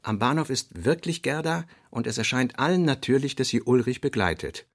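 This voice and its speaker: A man with a gravelly voice reading scripted literary narration for a radio drama. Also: medium pitch, lively intonation, fairly quick, dry recording, clean studio sound.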